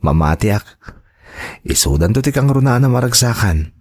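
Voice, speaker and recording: A man's voice: medium pitch, animated, normal speed, a little mellow, lightly acted. A man with an Ilocano accent acting a trusting, devoted young son and partner in an audio drama, speaking earnestly and reassuringly. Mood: hopeful, reflective.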